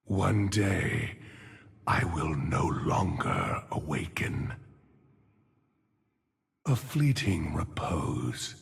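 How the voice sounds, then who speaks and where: Slow, gravelly undead-raising gravedigger monk. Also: male voice, expressive, heavily acted. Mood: weary, resolute. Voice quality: gravelly, husky, breathy low voice. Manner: slow, grave, brooding delivery. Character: weary, solemn male monk turned necromancer gravedigger, commanding the dead. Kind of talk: video game champion voice lines. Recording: long cavernous reverb on the voice.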